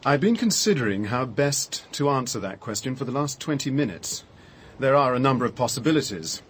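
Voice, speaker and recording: Actor as a wry, shaken British man, expressive. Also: medium pitch, close to an everyday voice. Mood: grave, shaken. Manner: measured, dry, hesitant. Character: shaken, wry Englishman recounting finding a murder victim. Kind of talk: radio drama acting. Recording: radio drama with faint background noise.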